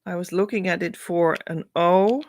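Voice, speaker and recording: A woman with a Dutch accent, a spiritual coach thinking aloud as she reads meaning into letters, with many pauses and hesitations. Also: lowish voice, darkish tone, a little hiss or hum. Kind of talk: casual spiritual reading.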